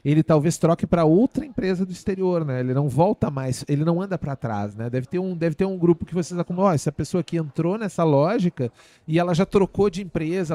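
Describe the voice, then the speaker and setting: A man's voice: fairly high pitch, dark tone, no room sound. A man from Brazil hosting a podcast, chatty and jokey, asking long winding questions. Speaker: podcast host. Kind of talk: casual podcast interview. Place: studio.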